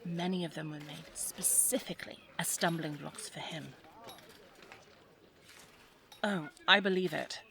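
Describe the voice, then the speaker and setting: A woman's voice: fairly low pitch, a bit bright. A woman playing a headstrong, caring young English daughter in a 1920s audio drama, with well-spoken, formal period phrasing. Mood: wry. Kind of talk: scripted audio drama acting.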